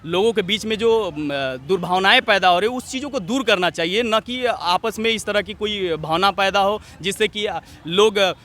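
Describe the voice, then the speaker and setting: A man's voice: high voice, normal speed, hardly any pauses, bright, forceful tone. A young man giving earnest, repetitive, opinionated answers in speech-like phrasing as a student leader in a street interview. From Jharkhand, India. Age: young adult.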